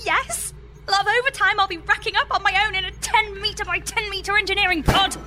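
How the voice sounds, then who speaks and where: A woman's voice: extremely high pitch, steady tone, a little fast, bright, forceful tone. A woman playing an exasperated, pleading space engineer with a dry, emphatic manner in a comedy audio drama. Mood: dry exasperation.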